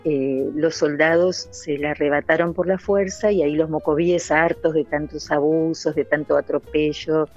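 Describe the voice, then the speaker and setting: A woman's voice: sits low, steady tone, normal speed, hardly any pauses, a little mellow, soft background music. A middle-aged woman, a novelist, talking earnestly in an interview with many hesitant fillers, over a remote call. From Santa Fe, Argentina. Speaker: novelist guest. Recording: dull, lo-fi remote audio.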